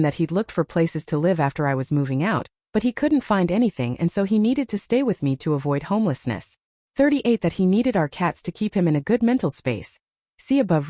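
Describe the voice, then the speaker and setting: Woman narrator, mellow low voice, lively pitch, numbered list, dry close sound. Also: no room sound. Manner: numbered list read with a lift on each number. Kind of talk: reading a reddit story aloud.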